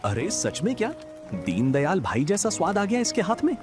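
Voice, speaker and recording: Friendly older cartoon villager, male actor; high voice, swooping intonation, music behind. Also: huge pitch swings, normal speed. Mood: curious, keen. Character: curious, friendly older village man buying street food.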